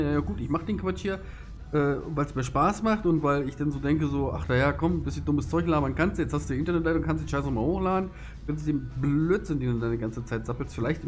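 A man giving rambling, filler-heavy gameplay commentary as a let's play host. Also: a little high. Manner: chatty, filler-heavy, self-deprecating.

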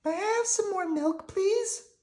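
A man's voice: very high-pitched, a little slow, a little mellow, pitched above the performer's own voice. A man voicing a sweet, polite little girl puppet in a children's storybook.

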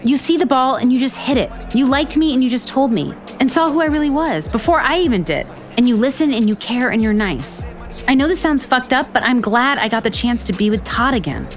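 A woman's voice: high-pitched, lively intonation, a little fast, soft background music. An actress playing an earnest, self-deprecating woman author in a romantic comedy audio drama, frank, rambling and profane. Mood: apologetic, nervous.

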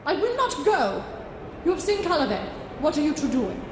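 A woman's voice: high-pitched, very animated, normal speed. An actress playing a proud, bitter, loyal woman in love in an emotional radio drama. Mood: hurt, defiant. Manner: emotional, reproachful. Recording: echoey hall with noisy ambience.

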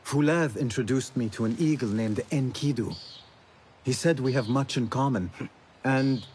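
Male actor as earnest young novice assassin, street-raised, mid-pitched voice, video game drama. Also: moderate pace, darkish tone, slight background noise, lightly acted. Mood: low-key, observant. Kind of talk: game cutscene acting.

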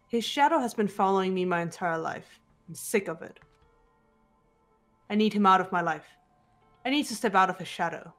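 A guarded teenage detective girl, played by a woman, speaks with measured seriousness in a video game. Her pitch sits at an ordinary level for a woman, and music plays behind her. She sounds composed and deliberate, with bitterness held in check. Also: natural voice. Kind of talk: amateur video game voice acting. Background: background music.

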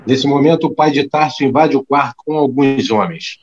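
A man's voice: medium pitch, moderate pace, lo-fi sound, a little hiss or hum. A man reading scripted narration for an audio drama, describing scenes as narrator.

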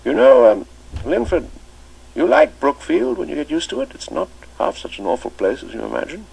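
A man's voice: on the high side, huge pitch swings, moderate pace, vocal fry, a little mellow, husky, pitched above the performer's own voice, heavily acted, poor audio. A man reading an audiobook, voicing a kindly elderly male schoolmaster who hesitates with many ums and uhs. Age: older.

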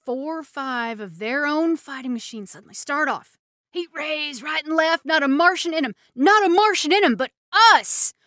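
A woman plays an embittered soldier scheming to rule the ruins in a radio play. The voice is high and dry, with halting intensity that moves between hushed plotting and fervor. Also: animated, dry recording. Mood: fervent, megalomaniac. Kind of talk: radio drama acting.

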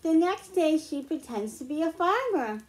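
A middle-aged woman reading picture books aloud to young children, explaining slowly and clearly at home. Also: high-pitched, big pitch swings, darkish tone, low-quality recording. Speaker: children's librarian. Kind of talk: storytime read-aloud for kids.

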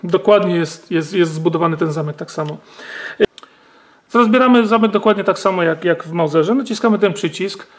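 A man's voice: high-pitched, normal speed. A man, demonstrating and explaining a rifle step by step, with many fillers and tag questions. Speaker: gun channel host. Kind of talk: hands-on firearm demonstration.

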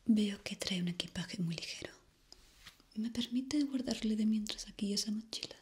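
A young woman from playing a polite, eerie hotel receptionist in an ASMR roleplay, formal and hushed. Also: medium pitch, slight background noise, lightly acted. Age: young adult. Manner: formal, slow, hushed and courteous.

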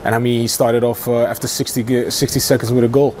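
Halting mellow-voiced male football pundit. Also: medium pitch, flat delivery, slight background noise. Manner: halting, many fillers and restarts. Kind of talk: studio match analysis. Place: TV studio.

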